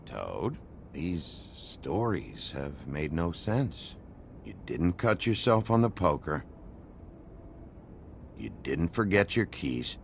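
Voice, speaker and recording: An actor playing a gruff, world-weary investigator in a video game, terse, deadpan and suspicious, with a gravelly voice. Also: male voice, deep voice, slow pace, long pauses, slight background noise, full character voice. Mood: stern, suspicious. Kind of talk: dramatic video game dialogue.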